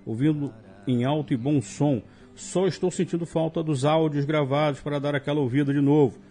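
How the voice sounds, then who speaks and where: A man's voice: a little high, steady tone, a little fast, warm tone, soft background music. A man with a Brazilian accent hosting live radio, reading listener emails aloud with jokey asides. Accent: Brazilian Portuguese. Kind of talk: casual live radio chat.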